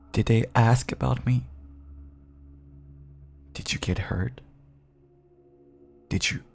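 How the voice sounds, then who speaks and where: A man playing a caged feral vampire who pleads clingily and bitterly with an estranged partner in an intimate dramatic audio roleplay, his voice husky and gravelly. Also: lowish voice, full character voice. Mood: quiet, probing. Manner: clingy, pleading, swinging from hushed endearments to bitter outbursts.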